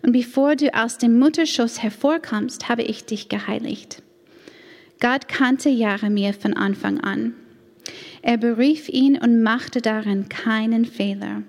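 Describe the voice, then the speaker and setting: A woman with an American accent, a native English speaker, shares a testimony at church, speaking slowly and deliberately. Her fairly high voice carries earnest care, with an occasional grammar slip. The sound rings with the long reverb of a big hall. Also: vocal fry, a little mellow. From United States. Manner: slow, earnest, careful delivery with occasional grammar slips. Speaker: church women's ministry leader. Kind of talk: personal testimony talk.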